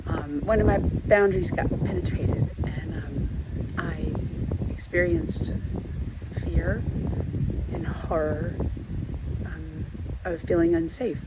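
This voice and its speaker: A hoarse woman giving an earnest personal video update, talking haltingly with many fillers and restarts. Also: on the low side, steady tone, very noisy. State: hoarse from losing her voice. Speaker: trauma recovery vlogger.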